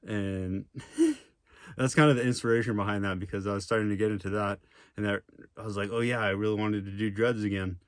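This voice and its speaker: A man's voice: lowish voice, fairly quick, dry recording, clean studio sound. A young man talking casually to camera in a vlog, rambling through his story with lots of fillers and asides. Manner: rambling, with many fillers and tangents. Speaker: vlogger.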